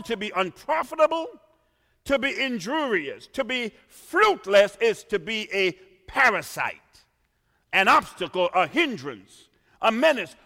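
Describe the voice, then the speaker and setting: Animated preacher from Trinidad. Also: male voice, very high voice, gravelly. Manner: dramatic, swings from quiet asides to shouted emphasis. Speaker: pastor. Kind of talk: sermon. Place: church. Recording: reverberant church hall.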